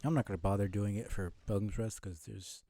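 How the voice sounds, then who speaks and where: A man streaming a video game with casual commentary, musing and thinking aloud in a low-key way. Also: fairly even intonation, a little fast, warm tone, a little hiss or hum.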